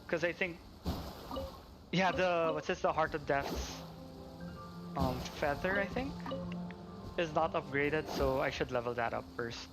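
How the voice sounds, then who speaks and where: A man's voice: on the high side, a little slow, long pauses, poor audio. A man chatting casually on a game livestream, rambling and thinking aloud as he plays. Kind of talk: casual livestream chat while gaming. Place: at home. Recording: game music playing behind. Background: video game music.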